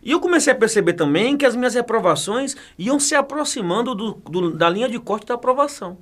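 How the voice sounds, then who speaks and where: Chatty man, high voice. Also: expressive, fairly quick, a bit bright. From Bahia, Brazil. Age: 40s. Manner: chatty, jokey, laughs often, repeats words. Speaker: guest, federal police chief and teacher. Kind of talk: casual interview talk.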